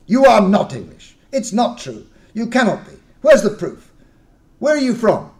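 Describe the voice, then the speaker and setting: A man voicing a fierce, excitable middle-aged French farmer with a French accent who interrogates and shouts. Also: sits high, moderate pace, creaky, voice pushed up, exaggerated voice. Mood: suspicious.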